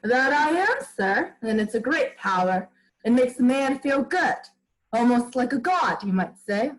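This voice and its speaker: Woman playing a high-pitched, swooping mad village villain. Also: big pitch swings, a little slow, poor audio. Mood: gloating. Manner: gloating, defiant, rustic speech. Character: mad, power-hungry village postman and bell-ringer, male villain. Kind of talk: 1940s-style radio play acting.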